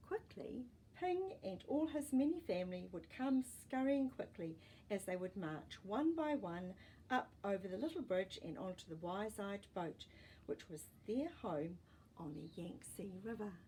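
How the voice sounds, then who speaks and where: A woman reading a children's storybook aloud as a storytime host, with deliberate, expressive phrasing for young listeners and small asides. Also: medium pitch, a little hiss or hum, rough recording.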